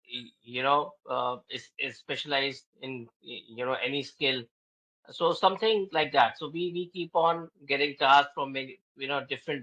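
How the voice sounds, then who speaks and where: A man walks listeners through a workflow on a webinar, stopping often for fillers. His voice sounds bright and forward. The call audio comes through dull and lo-fi. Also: medium pitch. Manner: hesitant, frequent fillers. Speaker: webinar speaker. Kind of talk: explaining a business process. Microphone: video call.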